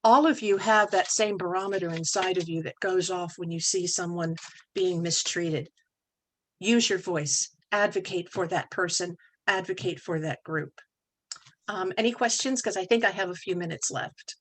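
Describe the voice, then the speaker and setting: Measured woman giving a training presentation. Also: lowish voice. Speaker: presenter at a club training assembly.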